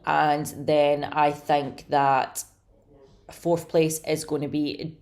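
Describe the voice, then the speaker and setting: Low raspy-voiced woman chatting predictions. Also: little pitch movement, drawn-out words, a little mellow. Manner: chatty, enthusiastic, many fillers. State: slightly hoarse. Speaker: bodybuilding commentator. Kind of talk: casual prediction talk to camera.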